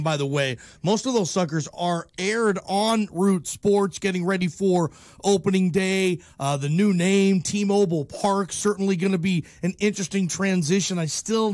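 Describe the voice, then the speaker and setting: A man's voice: high voice, dry recording. A man with an American accent hosting casual, slangy sports talk radio in an enthusiastic, informal manner.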